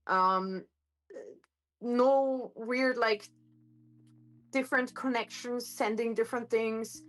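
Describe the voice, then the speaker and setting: A woman streamer chatting casually in a home kitchen, her voice a little gruff today, rambling with lots of fillers and self-corrections, picked up by a phone microphone. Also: a little high, steady tone, takes it slowly, a bit bright. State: slightly gruff voice. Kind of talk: casual live-stream chat. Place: home kitchen with hard countertops.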